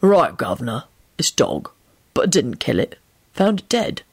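A woman's voice: a bass voice, big pitch swings, measured pace, breathy edge, pitched below the performer's own voice, full character voice. A woman voicing a surly, gloomy youngish street pie seller in an audiobook. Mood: dour.

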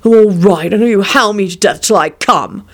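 A woman's voice: medium pitch, normal speed, close to an everyday voice. A woman voicing a sullen grown man, a defiant and whiny twin brother who barks back in argument. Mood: grudging. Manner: surly, barking, defiant.

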